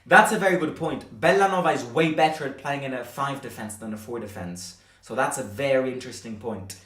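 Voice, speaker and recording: A man hosts a live football fan stream in a conversational, halting way, often doubling back on his words. His mid-pitched voice sits some way from the microphone in an echoey room. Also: a bit bright. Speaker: fan channel host and streamer. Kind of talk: live-stream football chat, reading viewer comments. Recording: roomy echo, distant from microphone.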